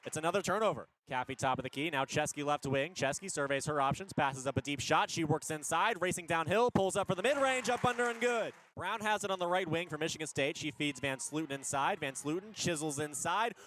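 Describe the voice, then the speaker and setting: A man doing energetic live radio basketball play-by-play in punchy short phrases, rising on big plays. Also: a little high, brisk, few pauses, slight background noise. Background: basketball arena ambience.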